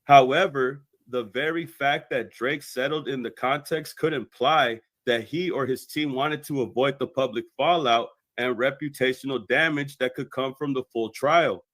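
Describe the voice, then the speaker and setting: A man's voice: medium pitch, moderate pace, hardly any pauses, fairly bright tone, no room sound. A man delivering scripted explanatory commentary in a methodical, expository way as a video commentator.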